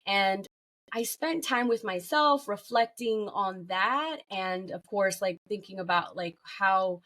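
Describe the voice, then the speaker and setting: A woman's voice: medium pitch, slow, fairly bright tone. Woman podcast guest chatting about herself, normal mid-range voice, conversational. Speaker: podcast guest, workplace well-being consultant. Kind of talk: conversational interview talk.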